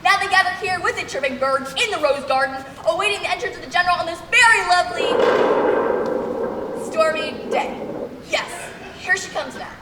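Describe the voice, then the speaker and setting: A young woman playing an earnest radio correspondent, announcing dramatically and deliberately in a comic mock news report. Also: very high voice, lively intonation. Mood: earnest, improvising. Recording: echoey hall, distant mic, faint noise.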